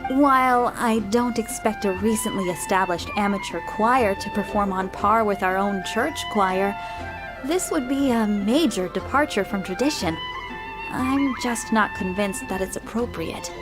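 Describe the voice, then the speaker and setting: A woman voicing a cautious, measured church nun in a fantasy game, polite and doubtful. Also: mid-range voice, over music, lightly acted, low-quality recording. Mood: hesitant. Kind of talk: game voice acting.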